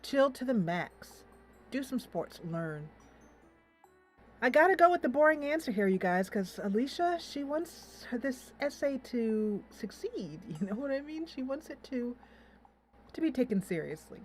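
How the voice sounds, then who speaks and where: A woman's voice: a little high, very animated, measured pace, faint music behind. A woman hosting a casual let's play, thinking aloud in a playful, giggly way as she comments on the game. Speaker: host of a gaming channel.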